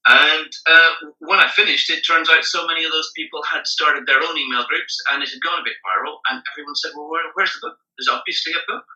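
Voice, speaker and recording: A man with a Northern Irish accent telling casual anecdotes in a halting, wry way. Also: a little high, fairly even intonation, bright, forceful tone. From Northern Ireland. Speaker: interview guest, travel writer and magazine editor. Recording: roomy, distant, slightly dull microphone.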